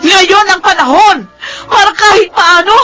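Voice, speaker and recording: Filipina radio drama mom, super high sharp voice, upset and accusing, pleading hard. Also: female voice, fairly even intonation, a little slow, soft background music, full character voice, poor audio. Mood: anguished reproach. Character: anguished, indignant mother confronting her husband.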